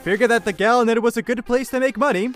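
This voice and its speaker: A man's voice: very high-pitched, fast, fairly bright tone, soft background music. A man playing a boastful hacker convict of about thirty in a sci-fi audio drama, cocky and showy. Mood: giddy, amused. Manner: showy, self-amused, cocky. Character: brash, boastful thirty-year-old male hacker convict.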